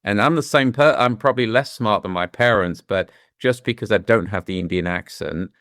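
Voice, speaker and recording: A man's voice: lowish voice, moderate pace, fairly bright tone, no room sound, clean studio sound. A middle-aged man with a British accent who grew up in London, speaking as an interview guest in a candid, reflective way and often restarting his sentences. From London, England. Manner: thoughtful, with frequent restarts and "you know"s.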